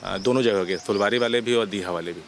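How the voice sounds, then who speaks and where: A man with an Indian accent speaking as a senior police officer in a press interview, official and explanatory with halting hesitations. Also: fairly low pitch, over a noisy crowd. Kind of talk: press interview answers, mixing Hindi and English.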